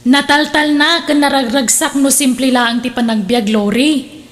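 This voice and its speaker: Woman acting a high-voiced, scolding older sister. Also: fairly even intonation, unhurried. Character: scolding, pessimistic older sister, content with simple living. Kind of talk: radio drama acting.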